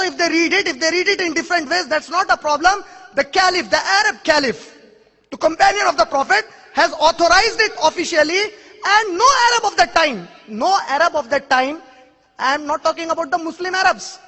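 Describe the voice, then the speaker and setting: A very high-pitched man with a bright, forceful tone, whose first language is Urdu, makes an emphatic argument before an audience. He pushes his points with pointed rhetorical questions and challenges. His voice rings with long reverb from a large hall. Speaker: speaker answering an audience question. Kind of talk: public question-and-answer lecture.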